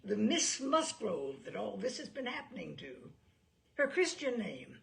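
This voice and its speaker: A woman's voice: medium pitch, big pitch swings, a little slow, vocal fry, heavily acted. A woman voicing a bluff, hearty, chatty older male admiral in an audiobook, blustery and rambling with emphatic delivery. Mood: eager, confiding. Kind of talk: audiobook character dialogue.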